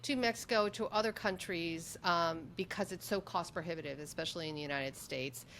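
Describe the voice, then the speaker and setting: Effusive woman interviewer, faint rumble behind. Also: brisk, a bit bright. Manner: effusive, emphatic repeats. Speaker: interview host. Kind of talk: conversational interviewing.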